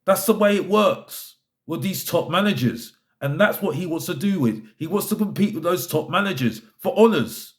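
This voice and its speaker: A man's voice: high-pitched, lively intonation, moderate pace. A man giving an opinionated football fan rant, exasperated and emphatic, repeating his phrases to drive points home. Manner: exasperated, fed up.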